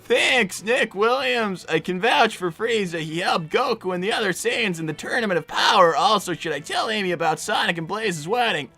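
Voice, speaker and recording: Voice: mid-range voice, expressive, moderate pace, few pauses, pushed and bright, faint music behind. A performer voicing a young boy cartoon fox, nervy and sarcastic, stammering and repeating phrases in an improvised livestream roleplay.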